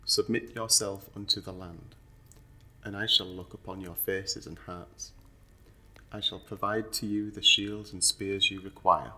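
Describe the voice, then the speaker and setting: A man's voice: sits low, steady tone, a little slow, pushed and bright, full character voice, poor audio. A man playing a solemn officiant of a fantasy noble court in an audio roleplay, speaking in a stately, formal, declamatory manner. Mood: solemn, reverent.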